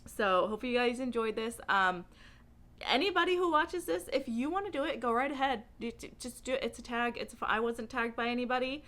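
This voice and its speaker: A woman speaks casually, as if chatting to followers in a book vlog. Her voice sits on the high side and has a very bright, forward edge. She is animated and giggly, rambling along informally. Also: brisk. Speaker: book reviewer vlogger.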